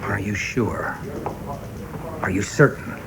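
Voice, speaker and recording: Guy doing self-important big shot politician, radio play, gruff, impatient, demanding, talks like he's giving a speech. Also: on the low side, expressive, slow, over a noisy crowd, heavily acted. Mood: weighing, decisive. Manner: pompous, emphatic, oratorical.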